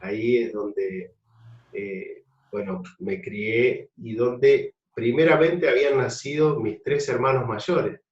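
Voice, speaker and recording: A man's voice: mid-range voice, slow. An Argentine man speaks as a pastor, telling his life story in a reflective, affectionate way. He sits far from the mic in an echoey room. The sound is dull. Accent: Argentine Spanish. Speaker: pastor guest. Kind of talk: interview testimony. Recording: distant, roomy, dull sound.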